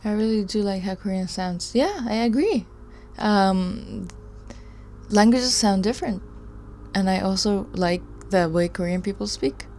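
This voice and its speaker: Chatty woman streamer with a gravelly voice. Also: mid-range voice, unhurried, darkish tone, a little hiss or hum. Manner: rambling, chatty, laughs often, trails off. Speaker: live stream host studying a course. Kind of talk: casual chat reading viewer comments.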